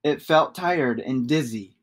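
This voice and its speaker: A man's voice: medium pitch, slow. Man reading a children's storybook. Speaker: storybook reader. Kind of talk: expressive children's read-aloud.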